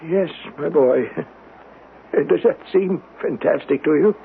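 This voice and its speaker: An actor playing an elderly, wistful, haunted man in a radio drama, speaking in a halting, sighing, old-fashioned way. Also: a little high, vocal fry. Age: older. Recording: tinny, noisy vintage radio broadcast.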